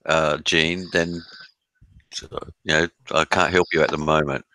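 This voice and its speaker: A man's voice: very deep voice, level tone, a little slow, bright, forceful tone, low-quality recording. A man hosting a live stream from his laptop, chatting casually in a laid-back, rambling way. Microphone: laptop mic.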